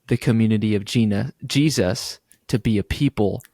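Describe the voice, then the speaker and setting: A man's voice: on the low side, normal speed, mellow, no room sound, studio quality. A man co-hosting a casual podcast, joking, laughing often and recapping a sermon series. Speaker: podcast co-host. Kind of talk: casual chat.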